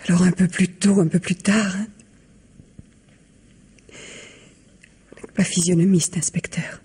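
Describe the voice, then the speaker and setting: An actress playing a worn-out, bitter Broadway star in a radio mystery, speaking with weary fatalism. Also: female voice, fairly low pitch, little pitch movement, slow, dark tone, breathy edge, heavily acted. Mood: bitter, resigned. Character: exhausted, bitter drug-addicted Broadway starlet. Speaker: actress in a radio crime drama. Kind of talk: French radio mystery acting.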